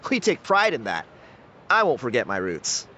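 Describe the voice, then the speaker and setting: A man's voice: medium pitch, normal speed, slight background noise. An actor playing a friendly, laid-back young tribesman in a video game, talking in an easygoing, chatty way. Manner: easygoing, talkative, animated. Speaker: game character. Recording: game audio with loud music.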